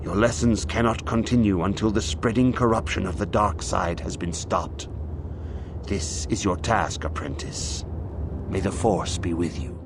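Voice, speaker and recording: A man playing a grave Jedi master in a video game, instructing an apprentice in a measured, formal manner. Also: low-pitched, noisy background. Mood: solemn, grave. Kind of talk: scripted game dialogue, solemn instruction.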